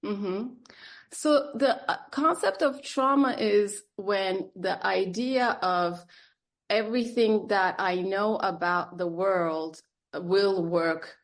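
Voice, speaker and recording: A woman's voice: medium pitch, slow. A woman psychotherapist raised in Germany and Turkey, whose first language is Turkish, answering interview questions thoughtfully with frequent fillers and restarts. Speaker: psychotherapist guest.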